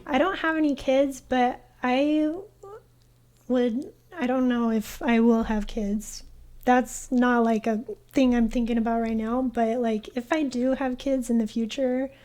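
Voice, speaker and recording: A young woman streaming a game, chatting in a soft, hesitant way with lots of fillers. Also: a little high, steady tone, measured pace. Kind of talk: casual gaming stream chat.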